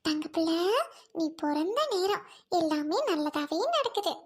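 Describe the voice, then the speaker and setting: A woman voicing a gentle elder daughter-in-law who has just had a baby, speaking softly and politely. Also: very high voice, unhurried. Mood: cooing.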